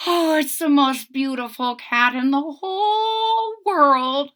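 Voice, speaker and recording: A woman's voice: high-pitched, takes it slowly, fairly bright tone, pitched above the performer's own voice. A woman voicing a very old man in a storybook, earnest and marveling, with emphatic delivery. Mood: proud, emphatic. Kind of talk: storybook read-aloud character voice.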